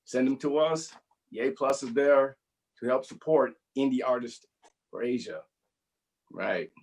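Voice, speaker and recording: Co-host, man, mid-pitched, chatty, promotional, trips over details. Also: lively intonation, a little slow, darkish tone. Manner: promotional, stumbling over numbers. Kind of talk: casual interview chat.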